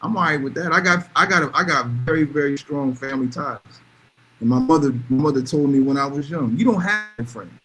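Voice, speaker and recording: A man's voice: on the high side, fairly quick, sharp tone, a little hiss or hum, low-quality recording. A man talking casually and bluntly, giving emphatic opinions and repeating phrases to drive points home. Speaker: podcast panelist.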